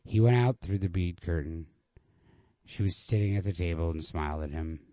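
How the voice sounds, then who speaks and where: A man reading a literary short story as narrator, in a measured, understated manner. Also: low-pitched, mellow, no echo. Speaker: story reader.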